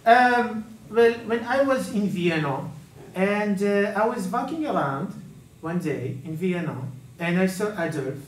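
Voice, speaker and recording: A man's voice: high voice, expressive, unhurried, reverberant, a little hiss or hum, low-quality recording. A man playing a pompous older French art professor with a put-on French accent, haughty and dismissive. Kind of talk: comedy sketch acting.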